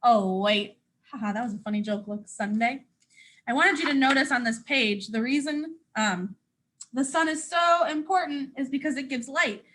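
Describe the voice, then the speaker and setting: A woman teacher reading aloud to young children, explaining things simply in an animated way. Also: sits high, moderate pace, a little hiss or hum. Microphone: speaking to a hand-adjusted camera.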